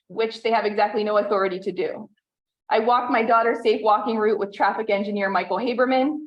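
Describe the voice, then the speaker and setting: A woman in her thirties from western Pennsylvania, reading a prepared public comment at a town meeting in an earnest, measured way. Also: medium pitch, dull audio. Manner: earnest, measured, reads from notes. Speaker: resident giving public comment.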